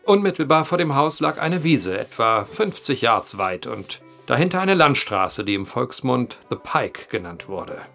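An actor playing a wry male journalist who tells an eerie old tale with deliberate phrasing in an audio drama. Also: medium pitch, big pitch swings, vocal fry, sharp tone, faint music behind, heavily acted. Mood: measured storytelling. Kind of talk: German audio drama acting, storytelling.

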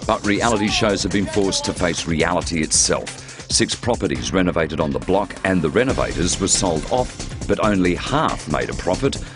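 A man's voice: low-pitched, animated, moderate pace. A man with an Australian accent reading scripted narration as a television news reporter. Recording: loud music under narration.

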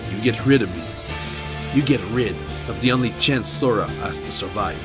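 A man playing a stoic, seasoned and calculating mentor in an audio drama, speaking in a measured way. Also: on the low side, natural voice. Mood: stern. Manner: measured, unemotional. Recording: music clearly under the voice.

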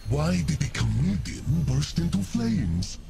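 An actor playing a menacing fire demon of metal and flame in a video game, with a gravelly growl and a grandiose, contemptuous manner. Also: male voice, fairly high pitch, huge pitch swings, takes it slowly, dark tone, soft background music, exaggerated voice. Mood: joking. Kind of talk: video game character voice lines.

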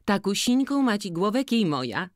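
A reader voicing a lively, bold young village girl in a slowly read audiobook. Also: mid-range voice, no room sound, studio quality, pitched above the performer's own voice, close to an everyday voice. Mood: chirpy. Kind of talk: audiobook character voice.

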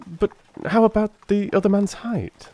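A man playing a puzzled, earnest gentleman companion to a detective, questioning in a measured way in a dramatised audiobook. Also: high voice, voice pushed up. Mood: puzzled, amazed. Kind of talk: dramatised Victorian audiobook dialogue.

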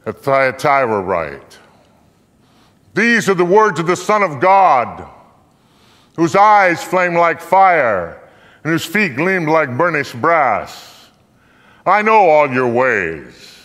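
A man's voice: fairly high pitch, fairly bright tone. An older man giving a solemn, declamatory live recitation of scripture on stage, drawing out phrases for emphasis. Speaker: dramatic reader on stage. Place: theater stage, indoors. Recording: echoey hall with long reverb.

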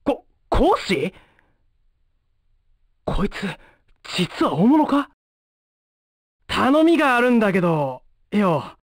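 An actor playing a brash, blunt young male ninja in a video game, with rough, slangy delivery. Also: sits high, sing-song, slow pace, long pauses, no echo, clean studio sound, heavily acted. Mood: surprised, eager.

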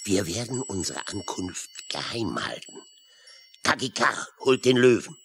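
A blustering peg-legged seaman in a children's radio play. His low voice leaps wildly up and down as he makes hearty, emphatic declarations. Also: very animated, measured pace, gravelly, a bit bright, slight background noise, exaggerated voice. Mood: hearty, resolute. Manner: boisterous, emphatic, swearing seaman's oaths. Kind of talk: children's audio play acting.

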